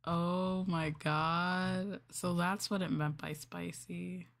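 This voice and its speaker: A woman with a slightly low voice talks casually to her livestream audience. She is expressive and giggly, drawing out her exclamations as she hosts. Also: slow, vocal fry. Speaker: livestream host reading scripts to chat.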